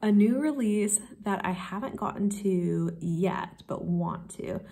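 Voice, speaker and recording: A woman's voice: medium pitch, takes it slowly, gravelly, mellow. A woman talking casually and unscripted as a book vlogger, in a rambling way, feeling out her phrasing as she goes.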